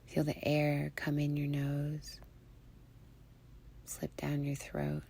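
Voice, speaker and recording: A woman leading a guided meditation in a breathy, near-whispered voice, soothing and hushed, with long pauses between phrases. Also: low-pitched, completely flat delivery.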